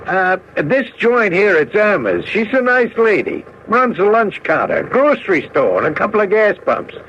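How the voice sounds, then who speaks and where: An actor in a radio drama playing a friendly, folksy American truck driver with colloquial, streetwise talk. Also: male voice, high-pitched, sing-song, measured pace, fairly bright tone, exaggerated voice. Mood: kindly, jovial. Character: friendly, folksy working-class truck driver, generous and chatty. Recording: dull, faintly noisy radio broadcast.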